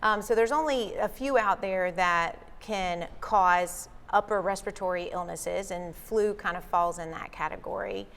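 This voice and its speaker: A woman appearing as a health guest on a talk show, calmly and politely explaining facts about the flu. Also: medium pitch, moderate pace, few pauses, big-room sound. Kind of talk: explanatory interview answers. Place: local TV studio.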